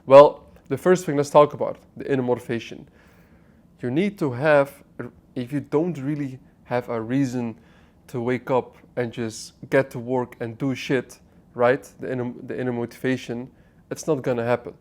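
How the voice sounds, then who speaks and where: A man's voice: medium pitch, steady tone, normal speed. Brief: man, twenties, raised in the Netherlands, talks to camera in a casual motivational monologue, blunt, curses, restarts phrases. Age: 20s. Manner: blunt, swearing, restarts mid-sentence, addresses viewer directly. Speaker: online business vlogger. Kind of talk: unscripted motivational talk to camera. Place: apartment.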